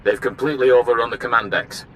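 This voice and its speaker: A man's voice: mid-range voice, fairly even intonation, fast, close to an everyday voice. A man voicing a formal warship captain in a video game, clipped and commanding. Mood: urgent, commanding. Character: formal, urgent male imperial warship captain. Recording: faint noisy battle ambience.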